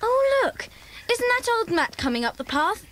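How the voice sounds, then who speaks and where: High-voiced, earnest schoolgirl. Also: big pitch swings, moderate pace, noisy background. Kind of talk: children's audiobook dramatization.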